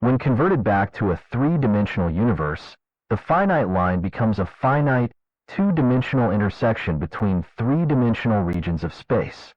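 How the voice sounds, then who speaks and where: A man narrating a fictional scientific government report in a formal, measured, clinical manner. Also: on the low side, big pitch swings, dry recording. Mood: formal, detached. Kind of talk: scripted documentary narration, analog horror. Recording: dull lo-fi narration track.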